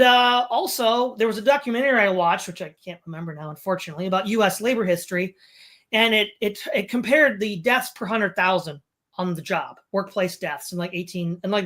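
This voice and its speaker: A woman's voice: medium pitch, brisk, a bit bright. A woman interviewing on a podcast, speaking in an opinionated, rambling way with emphatic repetition.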